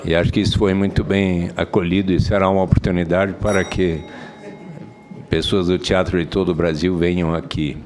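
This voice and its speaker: Deep-voiced, ceremonious man. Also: flat delivery, fairly quick, darkish tone. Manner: ceremonious, courteous. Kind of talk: formal parliamentary address.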